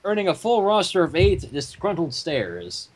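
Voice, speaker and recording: A man reading fan fiction aloud in an animated way, breaking off for joking banter. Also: fairly high pitch, normal speed, slightly rough. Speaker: fan fiction reader and narrator.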